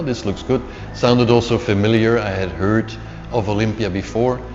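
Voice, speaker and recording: A middle-aged man whose first language is Dutch, telling his story in a casual interview, unhurried and repeating words as he searches for them. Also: lowish voice. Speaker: interview guest, author. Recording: dull lo-fi sound with long reverb and faint music.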